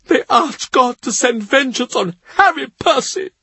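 A man's voice: very high-pitched, animated, a little slow, bright, forceful tone, husky, no room sound, pitched above the performer's own voice, heavily acted. A man voicing a grieving male servant who cries as he tells his story, in an audiobook. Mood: tearful. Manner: tearful, breathless storytelling. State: crying. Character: grieving male servant, weeping, tearful gentleman. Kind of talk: audiobook character reading.

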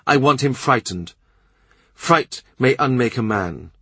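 A man's voice: mid-range voice, animated, slow pace, fairly bright tone, slightly rough, dry recording, exaggerated voice. A man voicing an imperious young queen who speaks in a cold, demanding way. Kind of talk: audiobook character reading.

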